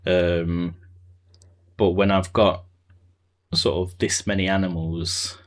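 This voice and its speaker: A man's voice: deep voice, little pitch movement, slow. A man streaming a building game, thinking aloud in a loose, rambling way and chatting with viewers. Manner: thinks aloud, trailing off and answering chat. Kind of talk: casual live-stream chat while playing.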